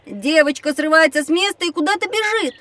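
A woman's voice: sits high, a little fast, recorded from a distance, natural voice. A woman playing a curious, cheeky child who observes and questions things in an educational audio drama, recorded slightly away from the microphone. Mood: curious, lively. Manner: inquisitive, observant.

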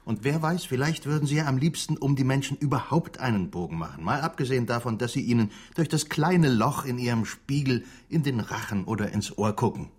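Actor as a blunt interrogator guy, husky, mid pitch, measured, skeptical, radio play. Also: lightly acted. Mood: accusing, dry. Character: blunt, probing male interrogator confronting a woman doctor. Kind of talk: radio drama acting.

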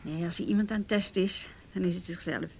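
A woman's voice: on the low side, fairly quick, creaky, darkish tone. An actress playing a wry, teasing off-duty policewoman in a naturalistic radio drama. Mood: wry, probing. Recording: faintly noisy radio play recording.

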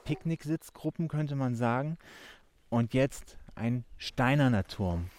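A man describing what he sees as he walks, halting and repeating words as he searches for them. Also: mid-range voice, lively intonation, a little mellow, a little hiss or hum. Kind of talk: spontaneous spoken description of surroundings while walking.

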